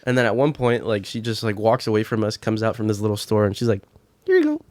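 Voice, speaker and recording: A man's voice: lowish voice, big pitch swings, quick pace, darkish tone, dry recording. A congested man chatting casually as a podcast guest, laid-back with short replies. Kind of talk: casual chat.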